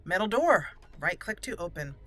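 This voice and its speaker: Bright-voiced woman doing game commentary. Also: fairly low pitch, big pitch swings, moderate pace. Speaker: gaming channel host. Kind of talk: roleplay let's play commentary.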